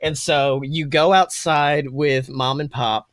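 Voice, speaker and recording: A man with a fairly high voice runs a tabletop roleplaying game. He improvises the story informally, meandering and slipping into slang. The sound is dry, with almost no room to it. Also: fairly even intonation, slow pace, fairly bright tone, no room sound. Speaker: game master.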